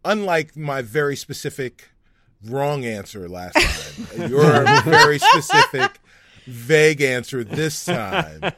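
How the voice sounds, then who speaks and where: A man's voice: a little high, wildly swooping pitch, slow pace, sharp tone. A man bantering casually as a game show panelist, emphatic and repeating phrases for effect.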